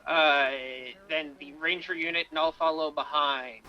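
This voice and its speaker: A man's voice: on the high side, steady tone, measured pace, dull audio, slight background noise. A man in a police roleplay, giving procedural directions over voice chat as he coordinates a convoy. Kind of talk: improvised police roleplay radio talk.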